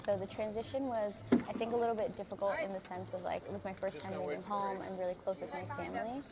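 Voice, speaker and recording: A woman's voice: mid-range voice, little pitch movement, fast, heavy background noise. A young woman, a college student, reflecting casually in an interview-style testimonial with filler-heavy phrasing. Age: young adult. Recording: loud music bed behind voice. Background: music.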